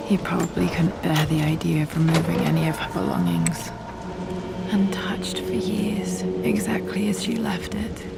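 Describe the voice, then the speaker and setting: An actress playing a pensive young British woman explorer in a video game, murmuring to herself in hushed tones as she searches. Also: sits low, normal speed, gravelly, heavy background noise. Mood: wistful. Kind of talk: video game cutscene acting, musing to herself.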